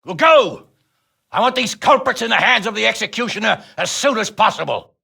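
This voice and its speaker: A blustering medieval lord for a video game. His voice is heavily creaky and husky, swinging widely in pitch. He is irascible and used to being obeyed. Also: animated, a little fast, pushed and bright, full character voice. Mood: angry. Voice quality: raspy, gravelly. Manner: blustering, imperious. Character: irascible, commanding middle-aged medieval lord.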